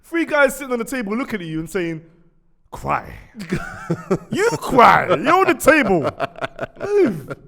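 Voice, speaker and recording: A man, hosting a casual chat show and bursting into loud laughs. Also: very high-pitched, very animated, measured pace, vocal fry, long pauses, big-room sound. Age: 20s. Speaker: podcast host.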